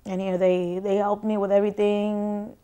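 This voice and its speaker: A young woman recounts her experience in a quiet, halting way, often restarting. Her voice is smooth and clear but stays very flat in pitch. She wears a lapel mic in a home room. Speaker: shelter client. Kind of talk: personal interview testimony.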